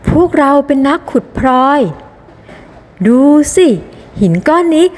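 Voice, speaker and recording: A woman's voice: high voice, animated, unhurried, darkish tone. A woman voicing a friendly, helpful small underground animal in a children's storybook, explaining things simply, with reverb on the recording. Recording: long reverberant hall echo.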